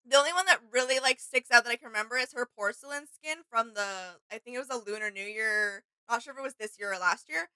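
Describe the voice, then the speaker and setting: Woman, high-pitched bright voice, casual co-host reaction chat, dry close sound. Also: fast, few pauses, smooth, dry recording, rough recording.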